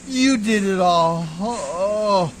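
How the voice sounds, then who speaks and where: A rough, hard-drinking boatman from a radio play, acted by a man. He drags his words out slowly in folksy, unschooled speech, whining and pleading as he grumbles. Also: high-pitched, a little hiss or hum. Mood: wailing.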